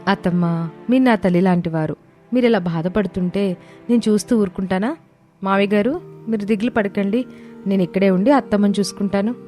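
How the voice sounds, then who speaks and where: Woman as a soft-toned, devoted young daughter-in-law. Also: mid-range voice, brisk, natural voice. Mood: reassuring. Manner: soothing, respectful, earnest. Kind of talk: dramatized moral story dialogue. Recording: music bed under the voice.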